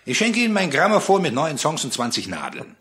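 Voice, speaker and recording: An actor playing a domineering, hard-headed trader in a German radio play, brusque and commanding. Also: male voice, on the high side, wildly swooping pitch, fairly quick, a bit bright. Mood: defiant, commanding. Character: domineering hard-headed foreign trader, grown man, scornful of locals.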